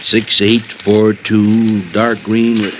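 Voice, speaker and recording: An actor playing a folksy, blustering used-car dealer with an American accent and a gravelly voice, in a 1950s radio drama. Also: male voice, lowish voice, monotone, lo-fi sound. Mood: folksy, obliging. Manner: folksy, blustery, drawn-out hemming and colorful slang. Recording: noisy vintage radio recording.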